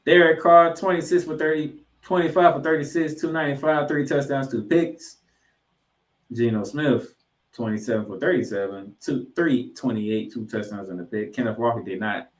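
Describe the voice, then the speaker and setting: A man's voice: mid-range voice, normal speed. Man recapping football in an echoey room. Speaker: sports podcast co-host. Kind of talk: football recap commentary with stat read-outs. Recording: echoey, roomy sound.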